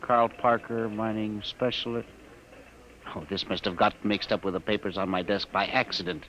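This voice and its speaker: A man's voice: fairly low pitch, little pitch movement, moderate pace, fairly bright tone, lightly acted. An actor playing a meek, fussy male records clerk who stammers timidly, in a 1950s radio drama. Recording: scratchy, hissy old radio recording.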